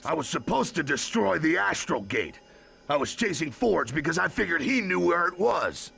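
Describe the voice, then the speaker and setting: Gravelly, cocky brute of a villain. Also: male voice, on the high side, very animated, moderate pace, sharp tone, faint music behind, full character voice. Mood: grudging. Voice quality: gravelly, raspy. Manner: slangy, blustering, belligerent. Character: brutish, cocky hired supervillain bruiser, sneering and hot-tempered. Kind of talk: animated video game villain acting.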